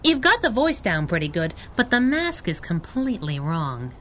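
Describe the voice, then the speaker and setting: A woman's voice: on the low side, very animated, moderate pace, fairly bright tone. A woman playing a sassy, fearless, wisecracking female superhero sidekick in a 1930s-style radio adventure. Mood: sardonic, suspicious. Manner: sardonic, wisecracking.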